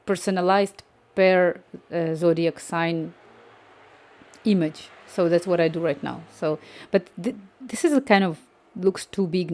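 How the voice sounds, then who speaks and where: Softly mellow woman coding live. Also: fairly low pitch. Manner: thinking aloud, reading chat, many restarts. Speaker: coding streamer. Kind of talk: live-stream coding chat.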